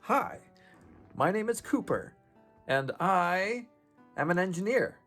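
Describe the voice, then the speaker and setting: A man playing a male goblin engineer in his own voice, slowly giving halting advice while recovering from a sore throat. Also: high voice, expressive, fairly bright tone, faint music behind, exaggerated voice. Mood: advising. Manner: slow, halting advice-giving with pauses and fillers. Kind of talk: improvised tabletop roleplay monologue.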